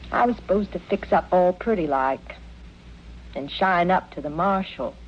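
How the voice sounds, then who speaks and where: A woman's voice: fairly low pitch, animated, normal speed, slightly rough. A woman playing a naive, loyal backwoods girl in a radio western, plaintive and simple, with a rural American accent. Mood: wistful, artless. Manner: simple, folksy, artless. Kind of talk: 1950s-60s radio western acting. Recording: muffled, noisy old radio recording.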